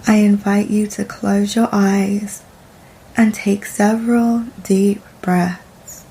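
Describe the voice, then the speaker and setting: A woman guides a meditation at an extremely unhurried pace, leaving long pauses between short phrases. Her tone is dark and relaxed, soft and soothing, with noticeable vocal fry. A faint ambient noise sits behind her voice. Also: medium pitch, rough recording.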